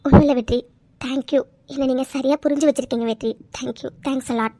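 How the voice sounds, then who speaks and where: Very high-voiced, fast-talking distraught young woman played by an actress. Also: lively intonation, no room sound. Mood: quietly grateful. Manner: pleading, repeating words. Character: distraught, defiant young office woman, normally easygoing. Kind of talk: emotional audio drama acting.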